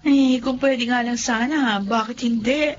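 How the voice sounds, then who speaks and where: A woman's voice: on the high side, steady tone, normal speed, dull audio, close to an everyday voice. A woman voicing a sassy, teasing young woman at a birthday party. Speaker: Nikki, party guest.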